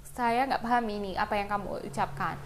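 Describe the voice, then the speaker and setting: A woman's voice: medium pitch, steady tone, fairly quick, a little hiss or hum. A woman with an Indonesian accent teaching an English lesson informally, explaining example phrases and talking directly to students.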